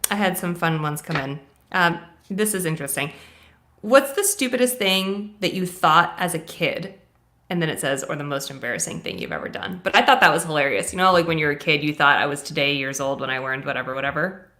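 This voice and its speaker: Female co-host in her thirties, Russian first language, bright and forceful, animated self-mocking chat. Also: low voice, a little fast. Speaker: co-host reading viewer questions. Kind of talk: casual live Q&A chat.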